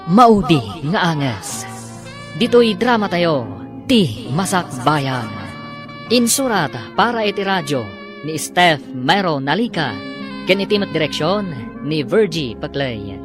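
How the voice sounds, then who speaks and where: A man with a Filipino accent reading scripted radio drama narration as announcer, emphatic, with drawn-out titles. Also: a little high. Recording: radio broadcast with loud music bed. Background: music.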